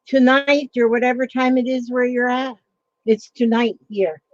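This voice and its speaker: A woman's voice: on the high side, fairly even intonation, normal speed. A woman hosting a casual livestream chat, rambling along with frequent ums and greeting viewers by name. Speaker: livestream host. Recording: dull lo-fi sound.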